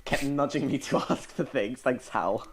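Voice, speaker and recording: A man's voice: mid-range voice, normal speed, a loud crowd. A British teenage male podcast co-host in casual chat, talkative and teasing. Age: teens.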